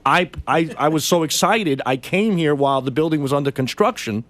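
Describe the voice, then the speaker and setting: An American man with a somewhat high voice speaks in a dry studio. He is defensive and pleading, stumbling over repeated words as he argues his case off the cuff. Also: brisk, fairly bright tone, no room sound. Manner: defensive, pleading, stammering repetitions. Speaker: radio show staff member. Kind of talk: unscripted heated studio argument. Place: radio studio.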